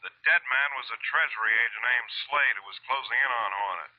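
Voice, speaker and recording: A stern police lieutenant from a 1940s radio crime show. He speaks rapidly at a medium pitch, clipped and all business. His voice comes through a narrow, tinny phone line. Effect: telephone filter.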